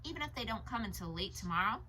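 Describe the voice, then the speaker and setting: A woman's voice: medium pitch, lively intonation, quick pace, pushed and bright, slight background noise, pitched above the performer's own voice. A woman reading an audiobook, voicing a stranded, hungry, anxious boy who thinks aloud earnestly. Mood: self-reassuring.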